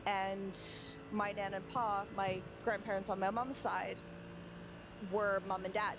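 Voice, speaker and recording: A woman's voice: mid-range voice, level tone, unhurried, highs cut off. A young woman, chatting casually and rambling, with restarts and fillers. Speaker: sim racing streamer. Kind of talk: casual chat. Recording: background noise from game audio.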